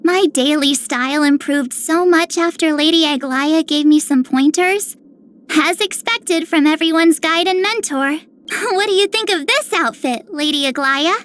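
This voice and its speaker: Young game heroine: very high, sweet, earnest, full of admiration. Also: female voice, measured pace, fairly bright tone. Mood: cheerful admiration. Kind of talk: dramatic game voice acting.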